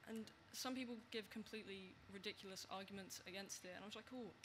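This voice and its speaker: A man's voice: high-pitched, fairly even intonation, fast talker. Young man on a panel, noisy hall. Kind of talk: panel discussion.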